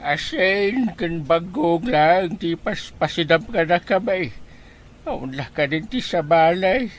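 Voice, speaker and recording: Slow, plaintive old grandmother acted by a woman. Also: on the low side, expressive. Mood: weary pleading. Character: frail, pleading elderly grandparent, long-suffering. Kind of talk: Ilocano audio drama acting.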